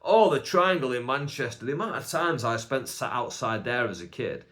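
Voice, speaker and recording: A man's voice: mid-range voice, animated, normal speed, a bit bright. A young man with a Bolton accent, a stand-up comedian rambling through a casual, self-mocking solo podcast with lots of "like" fillers. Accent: Northern English, Bolton. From England.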